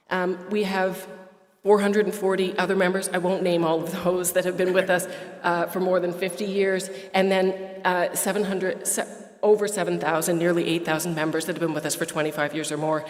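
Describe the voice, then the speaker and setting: A woman from Quebec whose first language is French, giving a brisk report at an association's annual meeting, with some fillers and restarts. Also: lowish voice. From Quebec, Canada. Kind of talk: prepared speech at a meeting. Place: meeting hall at an airport. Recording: roomy hall reverb.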